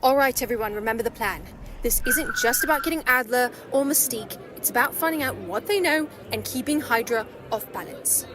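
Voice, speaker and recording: A woman playing a brisk, commanding military agent in an audio drama, firm and authoritative. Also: on the high side, crowd noise, natural voice. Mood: stern.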